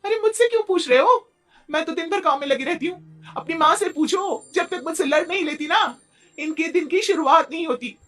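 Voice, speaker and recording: A woman voicing an indignant, sharp-tongued young wife who argues in a Hindi moral-story cartoon. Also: very high-pitched, expressive, brisk, faint music behind. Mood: defiant. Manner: argumentative, aggrieved.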